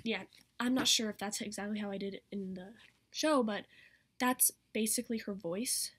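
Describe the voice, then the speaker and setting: A woman's voice: mid-range voice, moderate pace, fairly bright tone, slightly rough. A teenage girl talking casually to camera in a rambling way, saying "like" often, as she explains character voices. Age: teens. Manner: rambling, peppered with "like". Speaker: vlogger demonstrating character voices. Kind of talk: casual chatty tutorial to camera. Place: small bedroom, indoors. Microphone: laptop mic at arm's length.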